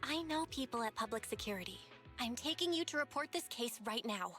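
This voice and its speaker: An earnest young heroine in a video game. Her voice is high and crisply bright, with a decisive air. Soft music runs behind it. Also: expressive, fairly quick. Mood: resolute.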